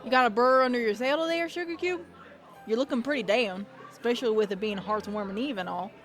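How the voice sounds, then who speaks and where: A woman's voice: a little high, fast, faint music behind, natural voice. A woman voicing a plain-spoken, caring young farm pony, earnest and folksy, in a dramatic reading. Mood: teasing concern. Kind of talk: dramatic reading of fan fiction.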